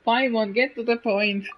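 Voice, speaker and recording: A woman's voice: fairly high pitch, steady tone, takes it slowly, muffled recording. A woman reading game dialogue aloud on a livestream.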